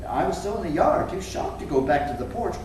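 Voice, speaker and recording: A wry cat owner recounts his comic troubles with exasperation , played by a man reading onstage. His fairly high voice moves freely up and down, heard from a distance in a very echoey theater. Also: fairly quick. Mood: wry narrating. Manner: animated, expressive delivery. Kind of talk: theatrical first-person storytelling in a play read-through. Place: indoor theater stage, recorded from afar. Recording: echoey theater, distant mic, faint noise.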